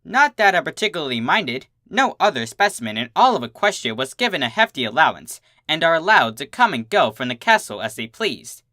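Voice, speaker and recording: A narrator giving an expressive first-person reading of a comic fan story. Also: mid-range voice, brisk, few pauses, no room sound, clean studio sound.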